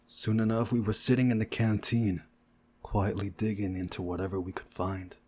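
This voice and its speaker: A man reading a visual novel aloud as a playthrough narrator, in a deliberate storytelling manner. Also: lowish voice, little pitch movement, dark tone, slight background noise.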